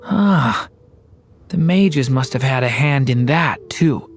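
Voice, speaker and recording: An actor voicing a young dragon boy in a video game, soft-spoken, hesitant and thoughtful. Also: medium pitch, animated, slow, faint music behind. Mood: earnest.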